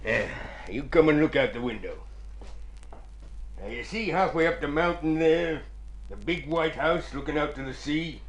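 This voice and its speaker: An actor playing a shady, wily fixer in a radio drama, with an insinuating, hard-boiled manner. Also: male voice, on the high side, lively intonation, moderate pace. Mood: persuasive. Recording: old radio drama with musical backing.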